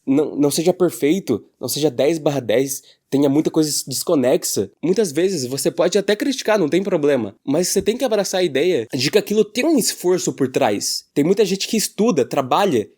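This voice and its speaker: A man's voice: fairly high pitch, warm tone. A Brazilian man giving casual opinion commentary as a YouTuber, in an informal, slangy way.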